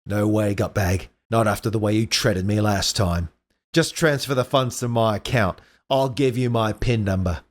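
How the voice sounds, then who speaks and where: A man's voice: lowish voice, moderate pace, voice pushed down, close to an everyday voice. A man voicing a brash, mocking weasel mercenary with an unconvincing Australian accent that slips into Cockney, as in a comic-book read-aloud. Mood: defiant. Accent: Australian, unconvincing, slipping into Cockney.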